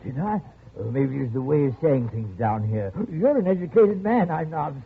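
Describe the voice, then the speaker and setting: An actor playing a lonely, genial but quietly menacing old jungle settler in a radio drama, speaking softly and haltingly with small chuckles. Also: male voice, fairly high pitch, expressive, vocal fry, slight background noise. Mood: evasive, gentle. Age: older. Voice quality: soft, chuckling. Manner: halting, gentle, repetitive. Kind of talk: 1950s radio drama acting. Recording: muffled, lo-fi old radio recording.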